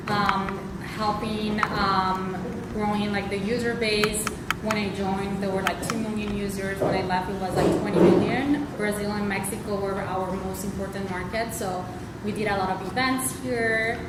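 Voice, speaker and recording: A woman's voice: mid-range voice, level tone, normal speed. A woman from Colombia with a Colombian accent, speaking informally to an audience as a guest speaker. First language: Spanish. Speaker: guest speaker, tech marketing lead. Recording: echoey room, distant mic, loud noise.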